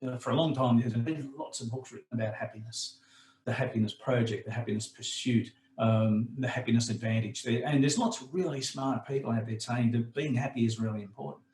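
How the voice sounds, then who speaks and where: A man talks through a training webinar in an easy, explanatory way, his voice a little croaky. He sits at a middling pitch, and the sound carries a noticeable room echo. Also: brisk, a little mellow. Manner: conversational, explanatory, frequent fillers. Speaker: webinar presenter.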